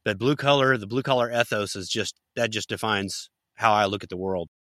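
Folksy guy hosting a podcast, bright forceful voice, dry close sound. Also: on the low side, a little fast, dry recording. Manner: folksy, tells jokes. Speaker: podcast host. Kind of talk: conversational podcast interview.

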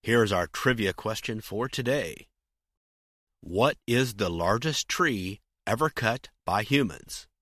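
A man's voice: lowish voice, animated, slow. A man hosting a podcast interview, folksy and fond of telling jokes. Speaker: podcast host.

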